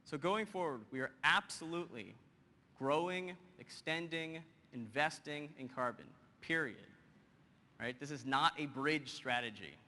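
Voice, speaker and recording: A man giving an energetic technical presentation with a live demo to a conference audience, often tossing in "you know" and "right?" and teasing the crowd. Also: fairly high pitch, unhurried. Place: large conference hall. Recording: long hall reverb, faint background hum.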